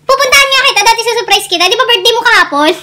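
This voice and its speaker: A young woman with a Filipino accent speaks in a strikingly high voice. Her delivery is casual, animated and giggly, like a vlogger making prank calls. The recording is clearly noisy, with clicks. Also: rapid-fire. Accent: Filipino, Tagalog.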